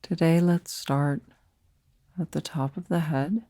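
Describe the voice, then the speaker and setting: A woman leading a guided meditation as a teacher, speaking softly and soothingly in short, unhurried phrases with pauses between them. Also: sits low, steady tone, no echo.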